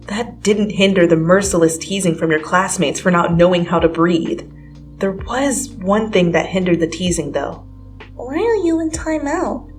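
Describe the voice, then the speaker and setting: A woman reading a story aloud as scripted, dramatic second-person narration. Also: lowish voice. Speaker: fan fiction reader. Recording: music under the voice.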